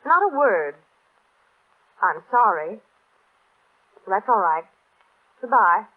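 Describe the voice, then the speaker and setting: An actress playing a sly, secretive secretary who talks in a teasing, guarded way. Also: female voice, medium pitch, very animated, slow pace. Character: scheming secretive female secretary, sly and cool. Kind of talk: 1930s-style radio drama acting. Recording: tinny old radio broadcast.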